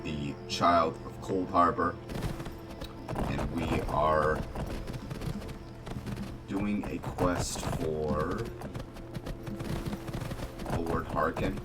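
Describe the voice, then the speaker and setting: Really deep voiced guy doing chill game commentary, slow n halting, loud music behind him. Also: fairly even intonation. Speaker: gaming video host. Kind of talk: casual roleplay game commentary. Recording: distant mic under loud music.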